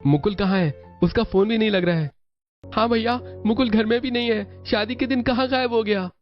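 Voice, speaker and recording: A man's voice: sits high, normal speed, lightly acted. A man playing an eager young adult son in a cartoon family story. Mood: puzzled. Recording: dull lo-fi audio with music behind.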